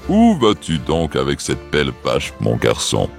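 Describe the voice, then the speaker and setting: A strange old peddler from a children's cartoon storybook. His voice sits extremely low and moves slowly. He is sly and wheedling, savouring his words as he pushes his wares. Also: huge pitch swings, creaky, faint music behind, heavily acted. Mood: sly, friendly. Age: older.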